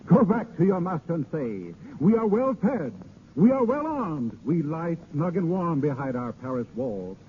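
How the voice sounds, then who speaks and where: A man's voice: sits high, full character voice. An actor playing a defiant, rousing champion of the French king in a declamatory 1930s radio drama style. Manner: declamatory, ringing oratory. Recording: scratchy, narrow-band 1930s radio recording.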